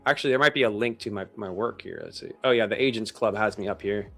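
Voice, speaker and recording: A man's voice: fairly low pitch, fast, creaky, fairly bright tone, faint music behind. A man of thirty-nine chatting casually as a live stream host, with informal, slangy bro-talk. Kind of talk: casual chat.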